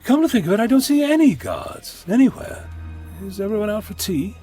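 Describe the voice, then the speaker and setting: A man plays a wry, talkative time-travelling adventurer in an audio drama. His voice is fairly high and very animated, flippant and inquisitive, over faint music. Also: brisk, breathy edge, exaggerated voice. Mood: flippant, taunting.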